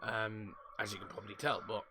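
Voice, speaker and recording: A man, voice croaky from a sore throat, giving casual live football commentary and rambling chat on his own stream. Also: on the low side, flat delivery, fairly quick, background noise. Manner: casual, rambling, mixes up names.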